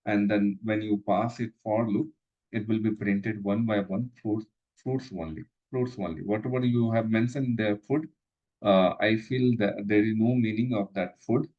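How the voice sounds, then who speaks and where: A man teaching programming, pausing often and repeating phrases with many fillers. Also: on the low side, steady tone, lo-fi sound. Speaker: instructor. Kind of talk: live coding lesson walkthrough.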